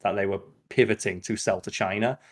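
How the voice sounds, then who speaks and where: A man's voice: fairly low pitch, steady tone, a little fast. A man chatting casually as a podcast host, blunt and opinionated, with plenty of swearing.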